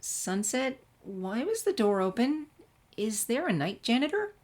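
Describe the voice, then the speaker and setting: A woman's voice: on the high side, sing-song, unhurried, voice pushed up. A woman voicing an eager, curious teenage schoolgirl in a chirpy, easily flustered manner for a story reading. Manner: bright, questioning, easily flustered.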